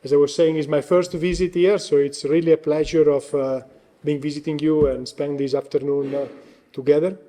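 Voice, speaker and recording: A man's voice: fairly high pitch, little pitch movement, normal speed, warm tone. A man with Italian as his first language, giving a conference presentation as guest speaker while losing his voice, hesitant with frequent fillers.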